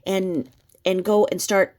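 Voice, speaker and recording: A woman's voice: mid-range voice, fairly even intonation, slow, darkish tone. A woman reacting to a video with critical, disapproving commentary.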